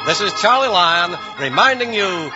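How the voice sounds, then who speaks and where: A man's voice: sits high, sing-song, moderate pace. A man with an American accent announcing in the polished style of a 1950s radio show. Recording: dull old radio recording. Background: organ music.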